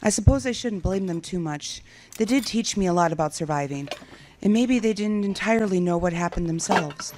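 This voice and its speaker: Over clear background noise, a lone female survivor played by an actress muses to herself in a medium-pitched voice. She is reflective and yearning, speaking as in an audio drama monologue after the apocalypse. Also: expressive, fairly quick, lightly acted. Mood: wistful.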